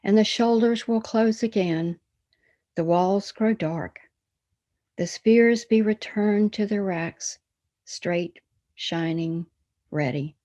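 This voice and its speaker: A woman giving a solemn, poetic reading of a meditation, measured, with pauses after short phrases. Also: mid-range voice, dark tone, muffled recording. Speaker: reader of a church meditation.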